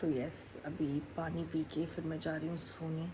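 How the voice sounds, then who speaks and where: A woman's voice: deep voice, little pitch movement, unhurried, dark tone, slight background noise, poor audio. A young woman from Bihar vlogging casually to camera, chatty and full of fillers, with a sore throat. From Bihar, India. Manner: chatty, mixes English into Hindi, many fillers. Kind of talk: casual vlog chat to camera.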